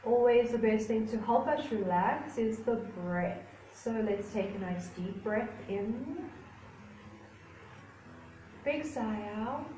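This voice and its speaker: A woman yoga instructor leading a guided relaxation class in a soothing, unhurried manner with gentle pauses. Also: a little high, slight background noise. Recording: roomy echo with faint music behind.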